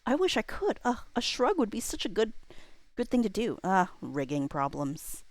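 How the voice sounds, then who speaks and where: A woman's voice: mid-range voice, big pitch swings, normal speed, no echo. A woman, perhaps in her thirties, chatting casually on a livestream in a rambling, self-deprecating way with informal slang.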